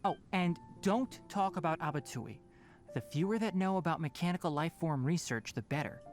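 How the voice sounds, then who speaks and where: A man's voice: fairly high pitch, animated, moderate pace, natural voice. Composed, precise young scholar-ranger, played by a man. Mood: firm, brisk. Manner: precise, explanatory, firm. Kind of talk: video game character acting. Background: faint game music.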